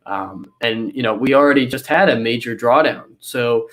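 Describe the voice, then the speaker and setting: A man's voice: mid-range voice, moderate pace, fairly bright tone. A young man with a cold and a nasal voice, explaining charts in a casual interview over a video call, full of fillers and restarts. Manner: rambling with many fillers and restarts. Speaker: guest analyst.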